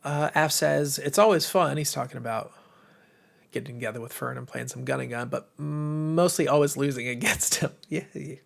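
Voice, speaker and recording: A heavily creaky, gravelly American man hosts a casual livestream. His voice sounds worn and a little hoarse, as if it is giving out. He sits at a medium pitch and talks in an informal, rambling, enthusiastic way to his viewers. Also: normal speed. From United States. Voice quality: tired, strained voice. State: voice going, slightly hoarse. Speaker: livestream host, game publisher.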